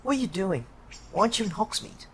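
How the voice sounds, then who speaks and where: A man's voice: high-pitched, lively intonation, fast, fairly bright tone, slight background noise. A man playing an anxious, insistent teenage schoolboy wizard in an audio drama, earnest and questioning. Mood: puzzled.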